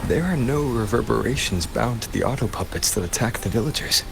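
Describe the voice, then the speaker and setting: A man playing a calm, dependable adventurer hero in a game, terse and matter-of-fact. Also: medium pitch, fairly quick, creaky, over music, low-quality recording. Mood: focused. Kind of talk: dramatic game voice acting.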